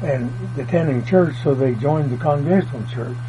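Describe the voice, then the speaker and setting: Older farmer reminiscing, humming tape. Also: male voice, medium pitch, brisk. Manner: folksy, meandering, plain country grammar. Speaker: oral history interviewee. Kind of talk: rambling family reminiscence. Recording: dull lo-fi tape with mains hum.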